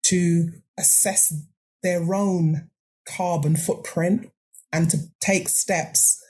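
A woman's voice: on the low side, steady tone, very slow, a little mellow, husky. An older woman, a podcast guest, talking earnestly and emphatically with frequent restarts. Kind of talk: conversational interview.

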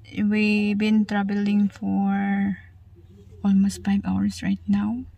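Chatty woman, travel vlog host, slow and gravelly delivery. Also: mid-range voice, dark tone, no room sound. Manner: chatty, switches between English and Tagalog. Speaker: family vlogger. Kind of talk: casual travel vlog narration. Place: outdoors at a campsite.

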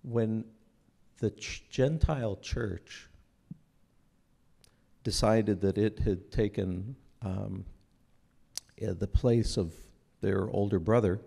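A man in his forties, raised in Israel, giving an earnest, unhurried talk into a stand microphone on a church stage, often restarting his phrases. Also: on the low side, creaky, mellow, slightly rough. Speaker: speaker at a church breakfast. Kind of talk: sermon-like talk to an audience.

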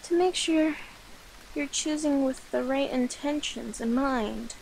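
Woman acting a very high-pitched shy catgirl familiar. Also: vocal fry, darkish tone, slight background noise, exaggerated voice, rough recording. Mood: shy, affectionate. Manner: halting, flustered, cutesy pleading. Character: young catgirl witch's familiar, shy, flustered, devoted, clingy. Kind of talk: ASMR roleplay.

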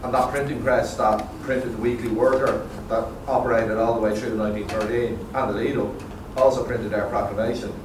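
A man's voice: medium pitch, steady tone, a little fast, few pauses. A middle-aged man with a Dublin accent asking a long, considered question from the audience in a meeting room, heard from a distant camera. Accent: Dublin Irish. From Ireland. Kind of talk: question from the floor. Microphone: far camera. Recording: echoey room, distant mic, noisy.

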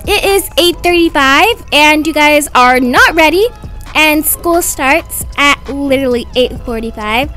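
A woman's voice: high-pitched, measured pace, bright, forceful tone, soft background music. A woman voicing a fussy, stressed father of young twins in a roleplay story, with a nagging, exasperated delivery.

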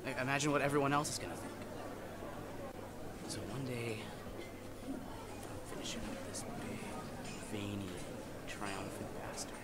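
A man playing a foul-mouthed, embarrassed young man in an acted comedy monologue, halting and defensive with his friend. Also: mid-range voice, heavy background noise, close to an everyday voice. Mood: secretive storytelling. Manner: halting, defensive, profane, swinging between mumbling and outbursts.

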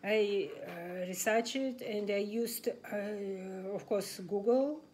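A woman in her forties with a Russian accent, casually vlogging at a table, talking in a halting way with many fillers and restarts. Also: mid-range voice, darkish tone, slight background noise. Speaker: massage student sharing exam tips. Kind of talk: casual talking-head vlog. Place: indoors at a table in a home room.

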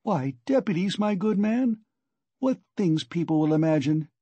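A man's voice: high voice, animated, unhurried, warm tone, no echo, highs cut off, pitched above the performer's own voice. A man voicing a sly middle-aged police investigator in an audiobook, mock-genial, needling and condescending. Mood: mock-genial, patronising. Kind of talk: audiobook character dialogue.

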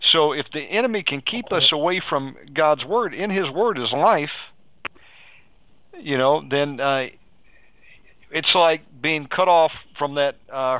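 Guy preacher on radio, kinda high voice, exhorting, sounds like a phone line. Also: no room sound. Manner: exhorting, repeats phrases, asks leading questions. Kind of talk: live pastoral counselling on a radio show. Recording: tinny phone-like radio audio.

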